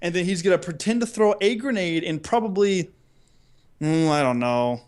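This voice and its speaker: A man co-hosting a podcast, telling stories in a casual, humorous, animated and rambling way. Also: high voice, normal speed, clean tone. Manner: animated, rambling, acts out imagined dialogue. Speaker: podcast co-host. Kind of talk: casual humorous chat, storytelling.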